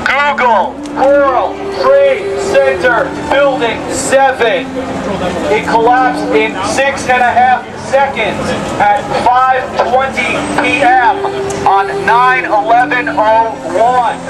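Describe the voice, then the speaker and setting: High-pitched man orating slowly through a bullhorn. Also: steady tone. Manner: declamatory, halting, emphatic, repetitive rhetorical questions. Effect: bullhorn amplification. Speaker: street activist. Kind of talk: bullhorn street protest speech. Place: outdoors on a busy city street. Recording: very noisy, echoey street recording from far off. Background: loud traffic and city noise.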